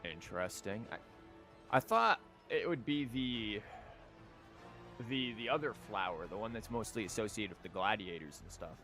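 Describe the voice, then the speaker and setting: A man's voice: lowish voice, lively intonation, moderate pace, bright, forceful tone. Male streamer, casual game commentary, wry and rambling, light music underneath.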